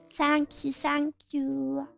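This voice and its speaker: A young woman streamer chatting casually with her viewers, self-conscious and giggly, with a scratchy throat she keeps clearing. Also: high voice, no echo. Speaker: VTuber streamer. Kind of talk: casual stream chat, reading chat aloud.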